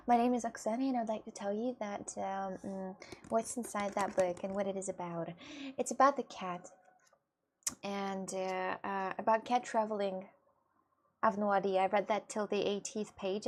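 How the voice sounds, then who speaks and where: A woman's voice: medium pitch, fairly even intonation. Giggly woman. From Russia. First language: Russian. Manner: hesitant, halting English with many fillers and giggles. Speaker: livestreamer. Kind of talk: casual live-stream chat with viewers.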